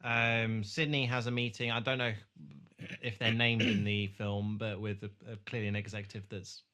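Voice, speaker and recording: A man hosting a podcast, casually discussing a film in a halting way with false starts. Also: fairly low pitch, little pitch movement, pushed and bright. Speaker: podcast host.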